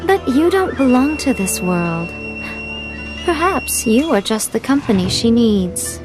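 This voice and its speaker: A woman voicing a sly, elegant shrine priestess in a video game, playful, condescending and unhurried, with music behind her. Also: a little high, very animated. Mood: knowing, teasing.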